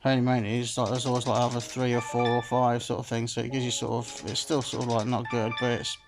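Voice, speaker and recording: Man, medium pitch, slightly phlegmy, rambling casual commentary, music playing behind. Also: monotone, fast. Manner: rambling, full of "sort of" and "like I say". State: a bit phlegmy. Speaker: slot game streamer. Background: game music.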